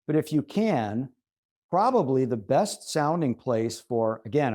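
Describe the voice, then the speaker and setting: Man with a mellow voice, explaining. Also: mid-range voice. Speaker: host and audio reviewer. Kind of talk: conversational explanation of audio setup tips.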